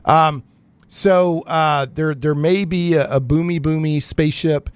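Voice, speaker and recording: A man hosting a live radio show, chatting informally and haltingly, often repeating words as he goes. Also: fairly high pitch, steady tone, clean tone, no room sound. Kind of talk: casual live radio chat.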